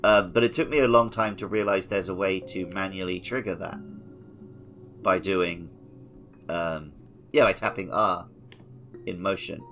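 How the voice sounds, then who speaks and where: A man's voice: low voice, fairly even intonation, unhurried, pauses often, a bit bright, faint music behind. A young man co-hosting a casual gaming podcast, chatty and jokingly scolding his listeners. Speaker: podcast co-host.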